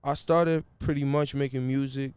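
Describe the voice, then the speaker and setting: Guy chatting easy, smooth clean voice, slangy, lots of "you feel me". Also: medium pitch, fairly even intonation, normal speed, a little mellow, no echo. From Brazil. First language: Portuguese. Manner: laid-back slangy talk, keeps saying "you feel me". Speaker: guest musician. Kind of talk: casual interview chat.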